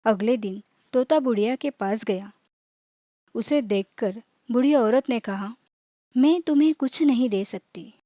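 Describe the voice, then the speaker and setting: A woman storyteller with a fairly high but mellow voice reads a scripted children's tale. She phrases it clearly and takes it very slowly, recorded close and dry. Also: dry recording.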